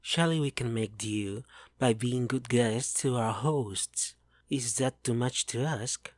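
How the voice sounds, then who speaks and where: A man's voice: mid-range voice, takes it slowly, dry recording, clean studio sound, close to an everyday voice. A man voicing a good-natured male dwarf who keeps the peace. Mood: reassuring. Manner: reassuring, plain-spoken.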